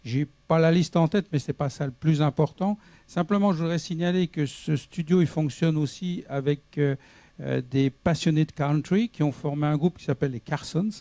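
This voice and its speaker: A man with a French accent hosts a studio music show, searching for his English words and filling gaps with "uh". His voice is dark and mellow, and the sound is dry and close. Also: a little high, dry recording. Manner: halting English with many fillers. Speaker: TV show host. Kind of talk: interviewing guest.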